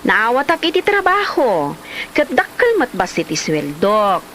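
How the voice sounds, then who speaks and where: A woman's voice: fairly high pitch, huge pitch swings, a little slow, fairly bright tone, slight background noise, exaggerated voice, rough recording. A woman playing an aggrieved young mother and wife in a comedy drama, with a Filipino accent and a dramatic, exclamatory delivery. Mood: scolding, indignant.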